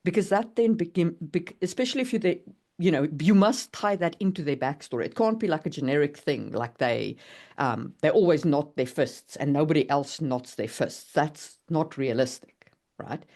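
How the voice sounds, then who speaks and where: Female podcast co-host, conversational explainer, careful and measured in her phrasing. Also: low-pitched, animated, mellow. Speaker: writer co-hosting a writing podcast. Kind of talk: casual explanatory discussion.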